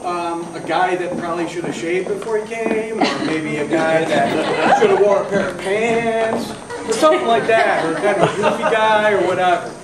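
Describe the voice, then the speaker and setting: A man's voice: high voice, moderate pace. A man actor introducing himself on stage in a goofy, self-mocking way. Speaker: actor auditioning. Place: hall stage with audience. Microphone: far camera. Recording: echoey hall, loud crowd, far off.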